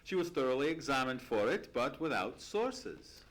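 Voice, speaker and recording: Radio-play Victorian official played by a man: earnest, fussy, formal, mid-pitched with swooping intonation. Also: big pitch swings, normal speed, fairly bright tone. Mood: matter-of-fact. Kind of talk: live old-time radio drama acting. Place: theatre stage.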